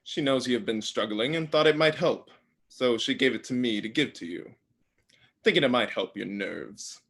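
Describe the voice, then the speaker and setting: A sly, scheming brother god, acted by a man, talks in a medium-pitched voice. The manner is casual and suggestive, as in a staged play reading. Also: moderate pace, a bit bright, slightly rough. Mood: coaxing.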